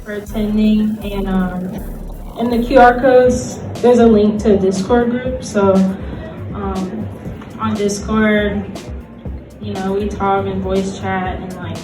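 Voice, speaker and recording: A woman's voice: medium pitch, fairly even intonation, takes it slowly. A woman talking informally and chattily as a host on a casual convention panel. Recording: roomy, distant mic, music behind.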